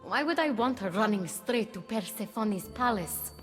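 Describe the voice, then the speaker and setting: A woman's voice: mid-range voice, lively intonation, moderate pace, natural voice. Casting: sardonic, scheming sorceress goddess played by a woman, mid-range, long reverb, game drama. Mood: smug. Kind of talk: video game voice acting. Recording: long reverb, faint music behind.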